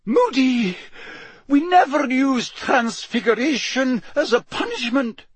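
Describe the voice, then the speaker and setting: Man doing a storybook witch schoolmistress, female, high-pitched, proper, indignant, lively swooping pitch, dry sound. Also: slow, breathy edge, no room sound, heavily acted. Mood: weakly disapproving.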